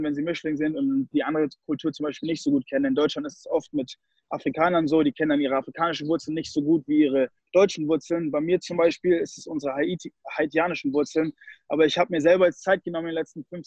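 A man's voice: fairly high pitch, steady tone, fast talker, darkish tone, breathy edge, dry recording, dull audio. A young man from Germany, a guest in a casual interview, who slips English phrases into his German. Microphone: call recording.

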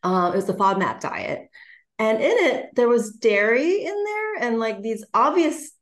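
A woman's voice: a little high, very animated, a little slow. A woman hosting a casual interview, chatty and upbeat, asking questions and sharing her own stories. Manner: chatty and upbeat, laughs easily. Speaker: host.

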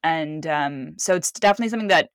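A woman's voice: deep voice, quick pace, no room sound, clean studio sound. A young woman from the Middle East, a filmmaker guest, telling personal stories in a candid, rambling way with many restarts. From Middle East (born Saudi Arabia, raised Lebanon). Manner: rambling, self-correcting, acts out quoted voices. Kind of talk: candid conversational storytelling.

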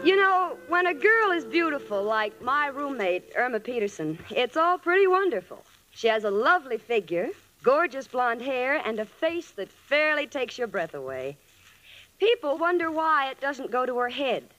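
Wry young american roommate, high swoopy voice, old radio comedy, theatrical. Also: female voice, very animated, normal speed, a bit bright, poor audio. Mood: wry, fond. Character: wisecracking, affectionate, lovestruck young working-girl roommate. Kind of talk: 1940s radio sitcom acting.

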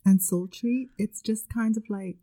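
A woman's voice: medium pitch, measured pace, mellow. A young woman with a Scouse accent from Liverpool, co-hosting a casual chat podcast with lots of laughing banter. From Liverpool, England. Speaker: podcast co-host.

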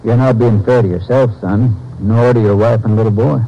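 A man's voice: fairly low pitch, fairly even intonation, moderate pace, warm tone. An actor playing a folksy, fatherly American sheriff, easygoing and reassuring, in 1950s radio drama style. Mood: kindly. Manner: easygoing, kindly. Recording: noisy, muffled old radio recording.

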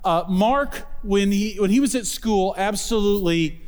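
High-voiced pastor in a reverberant hall. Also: male voice, measured pace, clear, resonant tone. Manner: enthusiastic, warm praise of the guest. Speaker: pastor introducing a guest speaker. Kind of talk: church introduction. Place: church hall.

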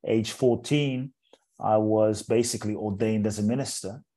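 A man in his forties who grew up in England, a speaker telling his life story as an interview guest in an earnest, measured way, often repeating words as he builds a thought. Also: fairly low pitch, fairly even intonation, warm tone. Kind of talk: reflective personal storytelling in an interview.

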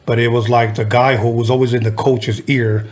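A middle-aged man from Southern California hosting a podcast, asking questions in a relaxed, conversational way. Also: mid-range voice, moderate pace. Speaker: podcast host. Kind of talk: casual podcast interview.

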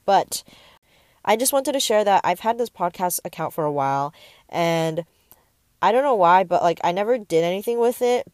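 A woman's voice: on the low side, a little mellow, no room sound, clean studio sound. A nineteen-year-old woman from the Bay Area hosting a casual solo podcast, rambling with lots of fillers and getting easily sidetracked. She records in a parked car on earbud mics. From San Francisco Bay Area. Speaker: podcast host. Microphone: wired earbuds mic into a tablet.

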